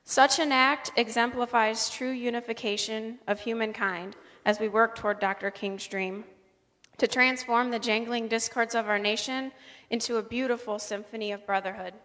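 A woman's voice: fairly high pitch, fairly even intonation, normal speed, fairly bright tone. A woman reciting oratory as a reader in a staged presentation. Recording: echoing hall with long reverb.

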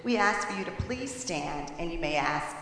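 A woman's voice: fairly low pitch, lively intonation, moderate pace, vocal fry, fairly bright tone. A woman hosting an event, formally addressing an assembly. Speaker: event host. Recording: very echoey hall with faint noise.

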